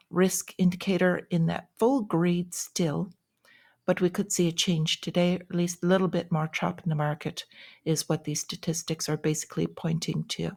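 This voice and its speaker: A woman hosting an informal market news update, explaining things in short, measured phrases with small pauses. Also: on the low side, darkish tone. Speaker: host of a daily finance update show.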